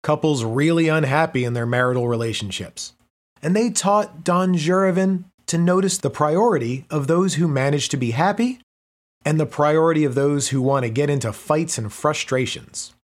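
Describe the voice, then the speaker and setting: Higher-voiced man reading a script. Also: normal speed. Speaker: reader. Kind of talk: scripted narration.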